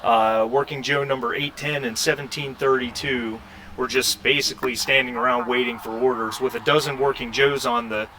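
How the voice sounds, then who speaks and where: A man narrating a tabletop role-playing game as game master, halting and conversational as he searches for words. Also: mid-range voice, steady tone, sharp tone, slight background noise, rough recording.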